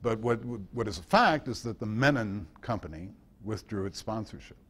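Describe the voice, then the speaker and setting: A man with a fairly low American voice gives an informal talk. He answers questions thoughtfully and anecdotally, hesitating and pausing as he searches for the right phrase. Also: animated, fairly bright tone, breathy edge. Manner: hesitant, thoughtful, anecdotal delivery with long pauses. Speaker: guest speaker and author at a club meeting. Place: indoor club meeting.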